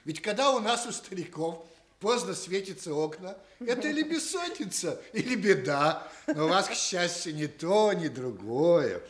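An actor playing a courtly, fiercely loyal old musician in a Soviet radio play, speaking with theatrical emphasis. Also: male voice, high voice, very animated, normal speed. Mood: courteous, gently wry. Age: older.

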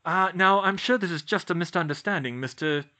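Man as a bright-voiced, casual young prankster. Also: high voice, brisk, voice pushed up. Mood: placating. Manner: casual, placating, cheeky. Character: jaunty, confident young prankster twin brothers. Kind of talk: audiobook character voice.

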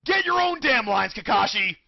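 A man's voice: high voice, very animated, normal speed, gravelly, no echo, heavily acted. A man voicing a brash, argumentative and rather dim teenage ninja boy in a comedic anime parody dub. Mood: indignant. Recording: dull, dry lo-fi home dub.

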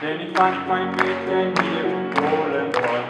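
A fairly high-voiced man in his sixties sings an unhurried folk song, strumming an acoustic guitar at a stand mic. The sound is a reverberant hall picked up from a distance, with loud music and a crowd behind. He invites everyone to join in. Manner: leads the audience in singing along. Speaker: singer-guitarist. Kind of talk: singing a folk song on stage. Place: large indoor stage. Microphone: stand mic while playing acoustic guitar. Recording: echoey hall recorded from far off. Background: guitar and a loud audience.

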